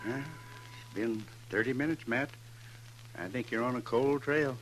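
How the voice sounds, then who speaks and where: A man's voice: medium pitch, unhurried, music in the background, lightly acted. An actor playing an anxious, plain-spoken American frontier man in a 1950s radio western, folksy and full of questions. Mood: uneasy, doubtful. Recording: noisy 1950s radio recording.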